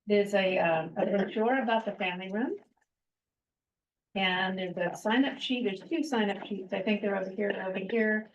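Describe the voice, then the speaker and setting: A woman from Vermont giving a prepared talk to a club audience, earnest and steady, with pauses and fillers. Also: medium pitch, slight background noise. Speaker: guest speaker. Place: meeting room. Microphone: handheld microphone, some distance. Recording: echoey room, dull lo-fi sound.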